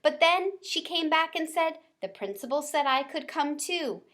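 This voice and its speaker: A timid little storybook pet, voiced by a woman. She is sleepy, chatty and unsure, speaking slowly in a highish voice. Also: animated, a bit bright. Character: timid, sleepy female school pet, small animal.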